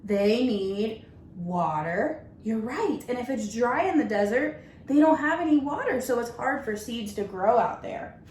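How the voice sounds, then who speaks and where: A woman's voice: a little high, expressive, unhurried, a little mellow. A woman teacher reading a children's picture book aloud, speaking simply and instructively to young listeners. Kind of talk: children's story read-aloud with questions to listeners. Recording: echoey room with faint background noise.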